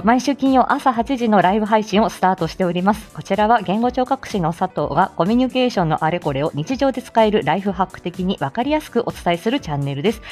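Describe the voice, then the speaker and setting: A woman's voice: mid-range voice, darkish tone. A woman hosting a casual morning livestream, chatty, flustered and giggly. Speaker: livestream host. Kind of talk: casual morning live chat.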